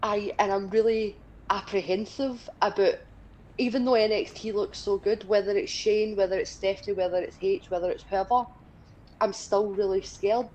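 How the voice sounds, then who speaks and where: A woman's voice: mid-range voice, fairly even intonation, normal speed, darkish tone, highs cut off, slight background noise. A woman in her twenties with a Scottish accent, chatting casually and bluntly as a stream co-host, swearing freely. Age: 20s. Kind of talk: casual chat during a gaming stream.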